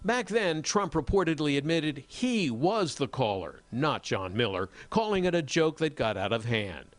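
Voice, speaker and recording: A man's voice: a little high, very animated, normal speed. An American man working as a television political reporter, delivering a news report. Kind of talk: scripted news report with some live studio talk.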